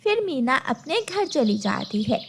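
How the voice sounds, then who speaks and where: A woman narrates a children's moral story from a script. Her voice is high and moves through a lively, wide range of pitch. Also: a little slow, gravelly, a little hiss or hum. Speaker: story narrator, also voicing bird characters.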